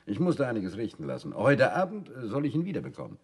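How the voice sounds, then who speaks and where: Obliging but insistent gentleman suspect, husky, swinging intonation, self-assured, old radio play. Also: mid-range voice, huge pitch swings, fast talker, exaggerated voice. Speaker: actor in a detective radio drama. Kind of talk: vintage German radio play acting.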